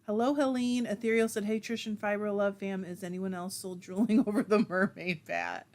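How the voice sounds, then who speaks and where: A woman's voice: mid-range voice, steady tone, normal speed, a little mellow. A woman hosting a casual livestream, reading viewer comments aloud and chatting back in a self-deprecating way, laughing often. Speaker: livestream host.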